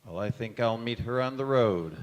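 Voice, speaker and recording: Guy doing a polite disapproving middle-aged dad in an old radio play, really varied pitch, big echoey hall. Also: expressive, normal speed, close to an everyday voice. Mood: courteous, wary. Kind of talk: old-time radio drama acting. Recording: echoey church hall stage.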